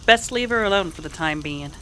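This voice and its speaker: A woman playing a calm, protective frontier woman with a hesitant, sympathetic manner in a Western radio drama. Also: lowish voice, a little fast, slight background noise. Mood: guarded concern.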